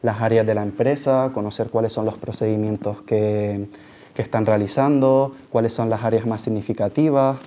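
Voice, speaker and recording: A man's voice: on the low side, fairly even intonation, normal speed, mellow. A man in his twenties with an accent from Spain, explaining his work to students as a junior auditor on a panel. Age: 20s. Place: large auditorium, panel table.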